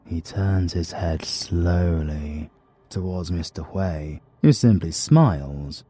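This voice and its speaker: Very deep British male narrator, slow and gravelly, for a dark audiobook. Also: animated. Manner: slow, measured, menacing delivery.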